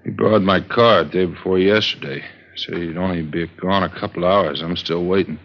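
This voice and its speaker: Sullen guy with shady friends, very low voice, vintage radio crime drama, dull sound. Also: fairly even intonation, normal speed. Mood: sullen, guarded. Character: sullen male ex-associate of a convict, woken at night. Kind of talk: 1950s radio drama acting.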